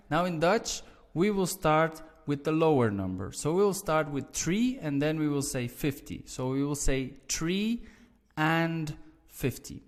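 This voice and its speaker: Belgian man, Flemish accent, patient teacher, unhurried delivery, reverberant room. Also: on the high side. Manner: slow, patient, repeats and explains step by step. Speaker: language teacher. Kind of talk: online language lesson.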